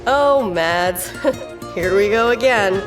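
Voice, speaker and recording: A woman's voice: mid-range voice, animated, slow pace, far from the mic, music in the background, low-quality recording. A woman voicing a plucky, earnest and kind-hearted young boy in an audio drama, spirited and youthful. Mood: fond. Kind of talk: scripted audio drama acting.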